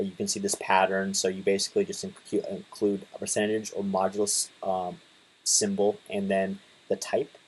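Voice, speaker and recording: A man in his twenties casually talking through a coding tutorial, relaxed, with lots of fillers and restarts. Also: on the low side, breathy edge, rough recording. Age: 20s. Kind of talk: casual screen-share tutorial.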